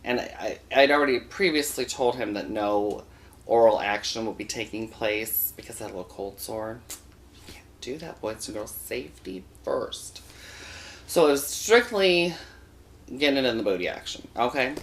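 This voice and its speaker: A man's voice: mid-range voice, normal speed. A man hosting a comic storytelling show from a studio, telling a bawdy tale in a campy, sassy way and addressing viewers as boys and girls.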